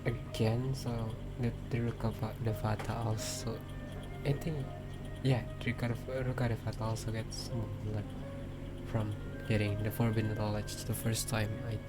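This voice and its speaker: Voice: medium pitch, level tone, moderate pace. A streamer giving casual gameplay commentary while playing a video game. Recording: game music behind the voice.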